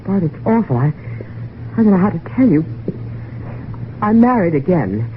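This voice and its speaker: An actress playing a flustered, evasive wife in an old-time radio mystery, halting and stammering as she pleads. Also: lowish voice, very animated. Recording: tinny, noisy vintage radio recording.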